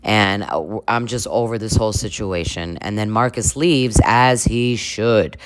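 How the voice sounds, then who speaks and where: A man's voice: on the low side, measured pace, smooth, no room sound. A man hosting a comedic reaction video, trading sarcastic asides with little chuckles.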